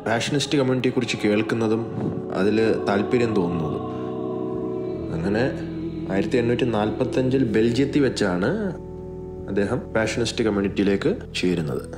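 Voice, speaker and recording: Low-voiced man narrating over music. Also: fairly quick, pauses often. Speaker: video narrator. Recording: music bed, some dull echoey passages.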